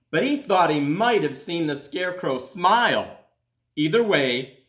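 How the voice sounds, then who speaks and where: A man, a school principal, reading a children's story aloud expressively, with dramatic pauses and drawn-out words. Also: fairly high pitch, fairly bright tone. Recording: roomy echo.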